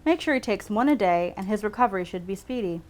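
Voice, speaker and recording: A woman's voice: medium pitch, expressive, quick pace, close to an everyday voice. A woman reading a story in the part of an impatient, overworked female doctor, curt and exasperated. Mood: brisk.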